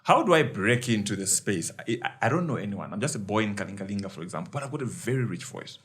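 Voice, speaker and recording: Male podcast host, husky and lowish, asks halting, searching questions. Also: expressive, a bit bright. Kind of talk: interview questions.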